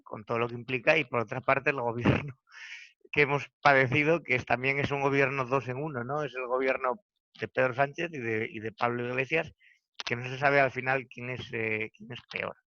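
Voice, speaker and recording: Asturian guy hosting a chat, bright voice, dry dull lo-fi sound, lots of ehs. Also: medium pitch, no room sound. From Asturias, Spain. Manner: conversational, with hesitant fillers. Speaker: host. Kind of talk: casual conversational interview.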